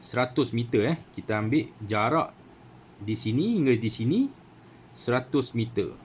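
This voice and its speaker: A man's voice: medium pitch, moderate pace, a little hiss or hum. A man with a Malaysian Malay accent giving a casual how-to talk as a channel host, full of fillers and asides asking for agreement. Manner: chatty, many fillers and rhetorical "kan?". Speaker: radio hobby channel host.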